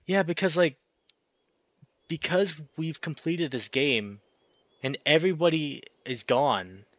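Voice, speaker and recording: Effusive man with very animated pitch. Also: no echo, dull audio. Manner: effusive, exclamatory, with drawn-out words. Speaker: let's play host. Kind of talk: casual emotional gameplay commentary. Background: game music.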